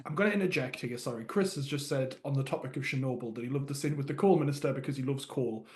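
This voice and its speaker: A man from Northern England, co-hosting a casual podcast chat, chatty and quick to laugh. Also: medium pitch. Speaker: podcast co-host.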